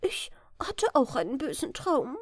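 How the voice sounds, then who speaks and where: A woman voicing a timid lady's maid in a fairy tale, hushed and uneasy. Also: high-pitched, sing-song, measured pace, no room sound, studio quality, voice pushed up. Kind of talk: fairy-tale storybook reading.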